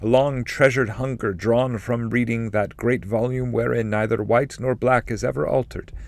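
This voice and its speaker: A man voicing a solemn, loving ancestral spirit in heaven, declaiming slowly and with joy in an epic poem reading. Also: mid-range voice, steady tone, gravelly, a little mellow, voice pushed up, lightly acted. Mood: loving, joyful. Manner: slow, exalted declaiming. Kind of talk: dramatic reading of epic poetry.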